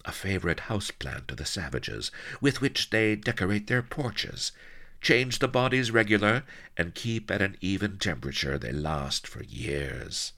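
A spiteful pirate villainess, put on by a male narrator, sneers and gloats in a swashbuckling storybook. The voice is hushed, with a creaky edge. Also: sits low, very animated, unhurried, pitched above the performer's own voice, heavily acted. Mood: spiteful relish.

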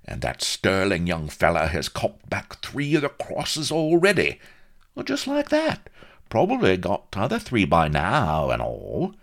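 A huge old sea admiral for a swashbuckling storybook. He rumbles and roars with pompous, hot-tempered bluster, spitting antique oaths. His pitch swings dramatically, often shooting far upward. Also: wildly swooping pitch, a little slow, a bit bright, full character voice. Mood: astonished admiration. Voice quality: rumbling, roaring.

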